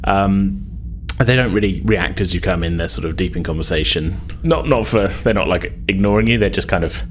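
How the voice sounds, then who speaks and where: A man's voice: low-pitched, a little fast, slight background noise. A man narrating a tabletop game as game master, informal and descriptive, with a hesitant, improvised delivery.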